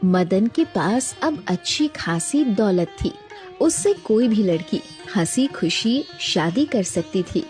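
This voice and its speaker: Slow, mellow woman storyteller. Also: mid-range voice, lively intonation, faint music behind. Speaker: narrator. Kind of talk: scripted children's story narration.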